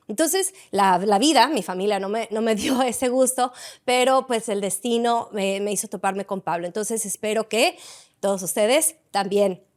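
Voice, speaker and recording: A woman hosting an interview in a bar, effusive and expressive, often pausing with "este". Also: medium pitch. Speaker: interview host. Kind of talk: warm conversational interview. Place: a Latin music bar.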